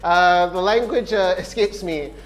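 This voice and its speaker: A young man from Malaysia calls an esports draft with a high, light voice. He jokes with his co-caster and pumps up the crowd between picks, switching from easy chatter to loud hype. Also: a little slow. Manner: energetic, playful hype-building. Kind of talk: live esports commentary.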